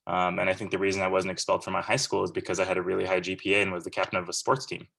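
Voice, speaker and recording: A man's voice: low-pitched, monotone, very fast, vocal fry, fairly bright tone. A man in his thirties from Central California, giving a casual, earnest and rambling guest lecture over a video call. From Central California, USA. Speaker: guest speaker.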